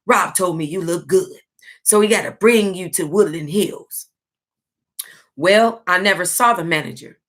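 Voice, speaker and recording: A woman with a mid-pitched voice reads aloud from a book. Her delivery is expressive and informal, like a book club host who moves easily between the written text and her own casual remarks. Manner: expressive, informal, breaks into amused asides. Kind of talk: reading aloud with casual reactions.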